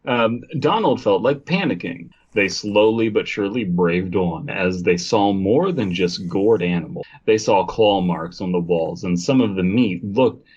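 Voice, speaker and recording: A man's voice: low-pitched, unhurried, hardly any pauses, darkish tone, breathy edge. A man co-hosting a podcast, reading a story aloud and breaking off for wry, mocking asides. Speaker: podcast co-host. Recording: dull, muffled lo-fi sound.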